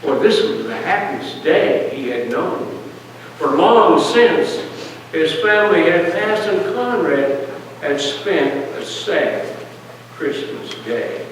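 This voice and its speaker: A man reading a narrative poem aloud like a storyteller at a church service, deliberate and pausing between short phrases. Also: high voice, expressive. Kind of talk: dramatic poetry reading, storytelling. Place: large church hall, heard from a distance. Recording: distant, echoey church hall, faint noise.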